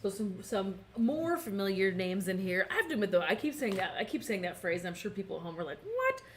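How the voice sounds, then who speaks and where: A chatty woman hosting a behind-the-scenes motorcycle race show from up in the tower. Her talk is upbeat and loose, full of quick laughs. A light murmur of noise sits under her voice. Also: mid-range voice, big pitch swings. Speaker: race broadcast host.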